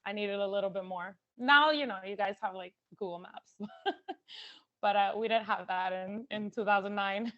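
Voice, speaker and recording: A woman's voice: medium pitch, moderate pace, fairly bright tone, muffled recording. A woman telling a story as a panelist, chatty and giggly with many fillers.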